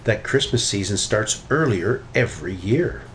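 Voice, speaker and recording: A sensible, gently fretting mother bear from a picture book, voiced by a man. The lines rise and fall expressively, with light background noise. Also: fairly low pitch, animated, moderate pace, natural voice. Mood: concerned.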